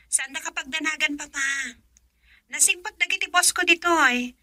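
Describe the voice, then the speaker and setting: A woman plays a heartsick young daughter in an Ilocano radio drama, her voice high and very bright. She talks emotionally, slipping from soft sighs to loud pleading. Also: moderate pace. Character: young woman torn over love, daughter.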